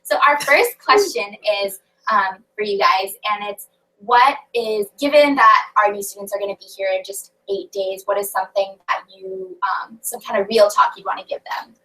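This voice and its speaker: Young woman host, brisk and bright, slightly distant video call mic. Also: medium pitch. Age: young adult. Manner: bubbly, many fillers. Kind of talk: casual video call chat.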